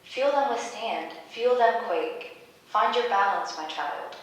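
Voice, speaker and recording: A woman's voice: on the high side, expressive, takes it slowly. Woman as a solemn mother-nature goddess, very echoey. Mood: solemn, tender. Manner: solemn, incantatory, stately. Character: solemn, nurturing maternal nature goddess, disembodied. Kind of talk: staged reading of a play. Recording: very echoey room, distant mic, background noise.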